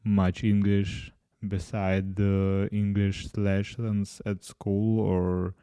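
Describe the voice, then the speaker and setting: Slow, mellow Ukrainian-accented man. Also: lowish voice, little pitch movement, clean tone, dry recording, studio quality. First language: Ukrainian and Russian. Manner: halting, many pauses and restarts, non-native grammar. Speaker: podcast host. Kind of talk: casual solo podcast talk.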